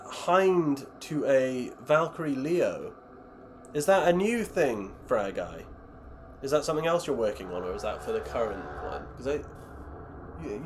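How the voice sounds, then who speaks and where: A man on a live stream, chatting casually and reading chat aloud, rambling and self-deprecating, while losing his voice. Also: mid-range voice. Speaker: streamer building miniatures.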